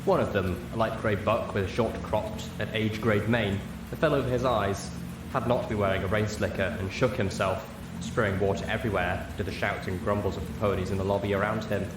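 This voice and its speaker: A man reading a story aloud as narrator, in an expressive scripted style. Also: fairly low pitch, brisk. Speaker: audiobook narrator. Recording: roomy, echoey, clear background noise.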